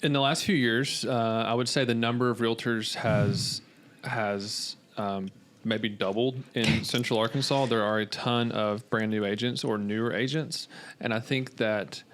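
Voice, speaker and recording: An American man speaking as a podcast guest, explaining in a measured conversational way with hesitant pauses. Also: mid-range voice, fairly even intonation, fairly bright tone. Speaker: mortgage lender guest.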